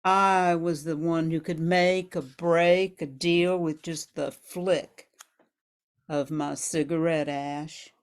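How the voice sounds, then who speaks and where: A woman's voice: low voice, steady tone, slow, clear, resonant tone. A woman playing a sultry, tough 1940s gun moll in a hard-boiled radio noir monologue. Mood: proud, menacing. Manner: sultry, hard-boiled underworld slang, calling listener sweetheart.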